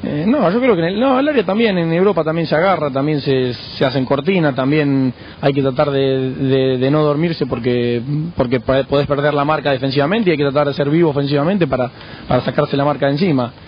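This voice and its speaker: Argentine footballer, calm halting answers, dull radio sound with light hiss. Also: male voice, on the high side. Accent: Argentine Spanish. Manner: measured, frequent hesitations and restarts. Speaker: footballer guest. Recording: muffled radio broadcast with faint noise.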